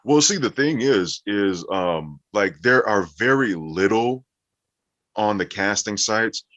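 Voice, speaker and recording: Very deep-voiced man explaining, echoey room. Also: animated, slow pace, a bit bright. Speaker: voice actor being interviewed. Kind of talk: conversational interview explanation. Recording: echoey room, dull muffled audio.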